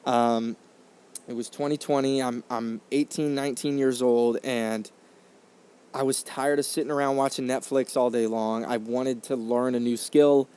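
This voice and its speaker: A young man in his twenties speaks into a condenser microphone as he hosts his own podcast. His voice sits at an everyday middle pitch. He is earnest and reflective, and often circles back with fillers and restarts as he tells his story. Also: fairly even intonation, normal speed, mellow, clear, resonant tone. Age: 20s. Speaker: podcast host. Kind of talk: casual storytelling monologue.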